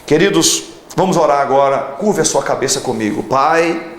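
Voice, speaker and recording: A man's voice: a little high, vocal fry. A pastor in his forties preaching a televised sermon and leading a fervent prayer, stretching out emphatic phrases. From Brazil. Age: 40s.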